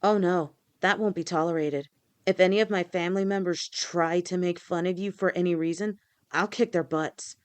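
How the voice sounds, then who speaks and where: A woman voicing a loving, protective lamia fiancée in an audio roleplay, speaking gently and reassuringly. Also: fairly low pitch, fairly even intonation, normal speed, smooth, lightly acted. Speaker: voice actor.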